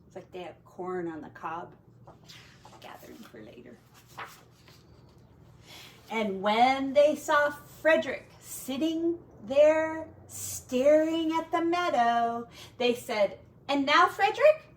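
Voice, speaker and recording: A woman teacher reads a picture book aloud in a highish, very lively voice. Her phrasing is slow and deliberate, made for children, and she sounds a bit away from the mic. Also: distant mic, slight background noise. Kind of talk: storybook read-aloud with casual asides on pictures.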